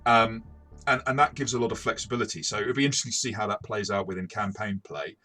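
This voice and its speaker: Low-pitched man with a bright, forceful voice. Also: steady tone, soft background music. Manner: halting, repeats words, often chuckles while talking. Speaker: host, game master reviewing tabletop RPGs. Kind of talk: casual review discussion.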